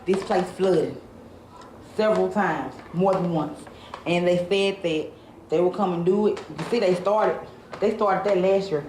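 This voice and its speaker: A woman's voice: on the low side, measured pace, darkish tone. A woman with a Southern US accent, speaking plainly and complaining as a tenant in an informal news interview. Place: indoors in an apartment. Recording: echoey room with background noise.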